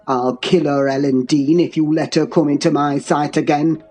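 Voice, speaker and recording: A woman's voice: low-pitched, slow pace, a little mellow, breathy edge, soft background music, voice pushed down, exaggerated voice. A woman voicing a furious, domineering older man with a harsh, commanding manner in an audiobook. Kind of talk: dramatized audiobook reading.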